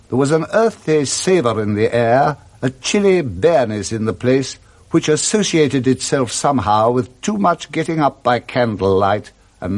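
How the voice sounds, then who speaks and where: A man reads scripted literary narration in the style of a 1960s radio drama. His voice sits at a middle pitch but moves very widely. The delivery is theatrical and expressive.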